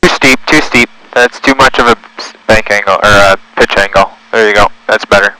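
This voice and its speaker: A man's voice: on the low side, brisk, smooth, no room sound, rough recording. A man working as a flight instructor, giving direct corrections and pointed questions over a headset intercom. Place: small Cessna cockpit in flight. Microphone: aviation headset intercom. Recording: loud aircraft cockpit noise over headset.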